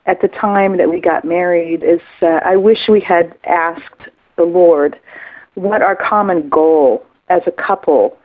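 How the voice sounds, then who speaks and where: Low-voiced woman on a tinny phone line. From Kansas City area. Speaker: caller. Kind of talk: recorded phone message.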